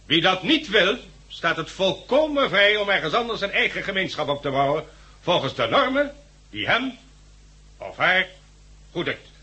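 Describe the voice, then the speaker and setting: A stern leader of survivors in a sixties radio drama. His man's voice is fairly high and forceful, swinging widely in pitch as he lays down rules in formal, emphatic oratory. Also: big pitch swings, moderate pace, full character voice. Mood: firm, rebutting. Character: stern male leader addressing survivors of a catastrophe.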